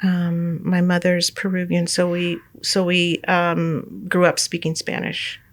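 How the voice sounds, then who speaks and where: A middle-aged woman from California, a guest telling her life story in a relaxed interview, rambling with many fillers and restarts and laughing often. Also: on the low side, slow. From United States, California.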